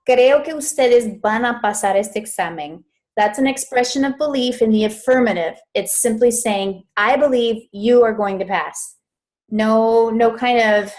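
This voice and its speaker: A woman coaching students in a live online grammar lesson. She is chatty, often stops to correct herself and laughs at small mishaps. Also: fairly high pitch. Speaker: Spanish interpreting coach.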